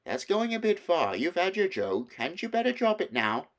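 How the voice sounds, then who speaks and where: A man's voice: high voice, sing-song, moderate pace, pitched above the performer's own voice. A man voicing a confident schoolboy elder brother in a children's storybook, hearty, reasoning and kindly patronizing. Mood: reproving.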